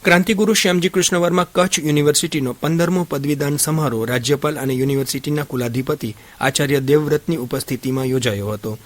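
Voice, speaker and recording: A man reads scripted radio news as a presenter. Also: mid-range voice.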